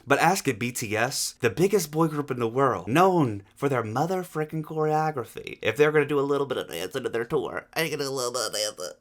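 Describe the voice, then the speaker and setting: A man hosting a casual reaction video, talking in slangy, sweary, exasperated commentary with sarcastic rhetorical questions. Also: mid-range voice.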